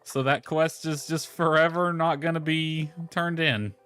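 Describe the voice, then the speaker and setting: A man's voice: on the high side, fairly even intonation, unhurried, a bit bright, clear, resonant tone. A man giving casual live gameplay commentary, rambling and thinking aloud as he goes. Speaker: game streamer. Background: background music.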